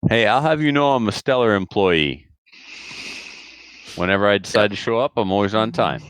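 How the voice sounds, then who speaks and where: A man's voice: lowish voice, expressive, moderate pace, fairly bright tone, no room sound, poor audio. A man hosting a podcast in casual chat, joking and self-deprecating. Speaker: podcast host.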